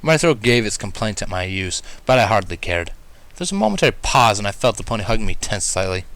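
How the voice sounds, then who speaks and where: A man reading a first-person story aloud as an expressive narrator. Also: on the low side, a little fast, no echo. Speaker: fan fiction reader, narrator.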